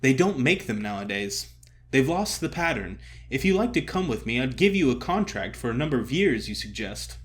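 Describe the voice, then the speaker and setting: A man voicing an effusive, theatrical older stage comedian who cajoles and flatters grandly in an audio story. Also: mid-range voice, natural voice. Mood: earnest pleading. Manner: emphatic, drawn-out address, grand pronouncements.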